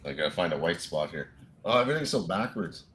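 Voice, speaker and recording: A man with a Minnesota accent hosting a casual live whiskey chat, rambling and laughing, clearing a frog from his throat. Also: sits low, sing-song, fast talker, fairly bright tone. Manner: laid-back, rambling, laughs often. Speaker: whiskey show host.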